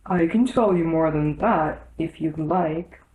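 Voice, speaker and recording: An impatient, gruff storybook beast, half solemn and half bossy, acted by a woman reader in a deep voice. The delivery is slow and deliberate, in a room with clear echo. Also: mellow. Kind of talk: storybook character reading. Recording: roomy echo.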